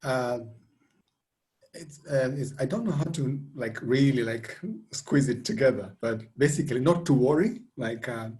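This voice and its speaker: A man with a mid-pitched voice talks as a guest on an online panel. He gives advice in a relaxed, loose way, with "like" fillers. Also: a little slow. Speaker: guest from a council digital team. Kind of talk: casual panel discussion. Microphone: video call.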